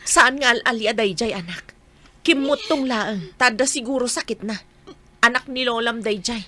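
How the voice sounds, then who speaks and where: A woman's voice: on the high side, normal speed, pushed and bright. A woman with an Ilocano accent playing an anxious, protective young mother in a radio comedy drama. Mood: worried, explaining.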